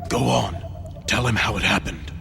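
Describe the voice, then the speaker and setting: An actor playing a grave, sceptical guardian hero in a cartoon adventure, speaking solemnly. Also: male voice, lowish voice, moderate pace, creaky, music in the background. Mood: grave, doubtful.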